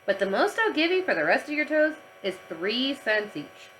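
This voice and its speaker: A woman voicing a teasing male yard teacher who haggles briskly in a children's storybook. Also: high-pitched, very animated, a bit bright, slight background noise, rough recording. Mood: haggling. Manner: brisk, wheedling bargainer.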